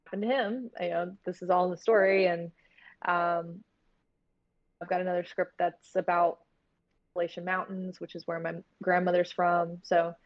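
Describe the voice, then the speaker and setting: A woman's voice: on the low side, no room sound, muffled recording. A woman chatting casually and candidly as an interview guest, talking like a filmmaker about her work.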